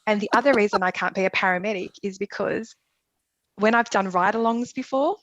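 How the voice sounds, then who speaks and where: A woman's voice: medium pitch, a little fast, no room sound, dull audio. A middle-aged woman from Melbourne with an Australian accent, telling an interviewer about her nursing career, chatty, with lots of fillers. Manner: chatty, rambling with many fillers, laughs easily. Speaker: guest, emergency nurse. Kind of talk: conversational interview.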